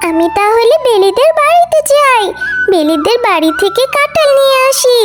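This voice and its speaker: Very squeaky innocent little schoolgirl. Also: big pitch swings, moderate pace. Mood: eager. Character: eager, innocent young schoolgirl animals in a village. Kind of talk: children's cartoon dialogue. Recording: music behind the voice.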